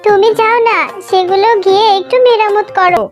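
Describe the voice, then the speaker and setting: Woman voicing an earnest, very high-pitched cartoon jackal wife. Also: steady tone, a little fast, soft background music. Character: caring young wife jackal, earnest and helpful. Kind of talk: cartoon dialogue.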